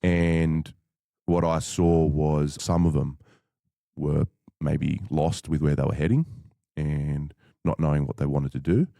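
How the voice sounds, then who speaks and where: A man as a podcast guest, telling his story in a measured, thoughtful way with frequent fillers. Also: rumbling low, steady tone, gravelly, dark tone, no echo, studio quality. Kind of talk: reflective conversational storytelling.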